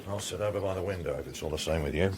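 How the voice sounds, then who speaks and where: An actor playing a gruff, sardonic police inspector in a radio drama. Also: male voice, deep voice, rapid-fire, darkish tone, slight background noise, lightly acted. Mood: dry, wry.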